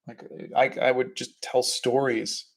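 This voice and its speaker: A middle-aged man hosting an art livestream, chatting in a relaxed, halting way with fillers while he paints. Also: medium pitch. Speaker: artist and livestream host. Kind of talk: casual chat while painting.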